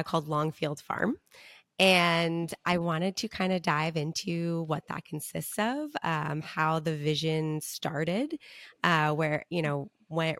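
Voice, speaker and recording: Woman podcast host, low voice, dry close sound, eager questions. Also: a bit bright, no echo. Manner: eager, friendly questions with fillers. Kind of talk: interview hosting.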